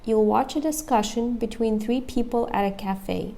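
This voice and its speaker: A woman walks viewers through listening test answers. Her voice sits a little high, and she repeats each option methodically as she explains it. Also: moderate pace, creaky, dark tone. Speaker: English test prep teacher.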